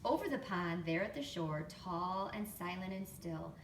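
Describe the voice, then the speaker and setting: Roomy, low-voiced woman reading a storybook aloud. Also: normal speed. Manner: expressive, explanatory, prompts young listeners with questions. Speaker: storytime reader, teacher to young children. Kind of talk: picture book read-aloud with chatty asides. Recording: roomy, distant mic, faint background noise.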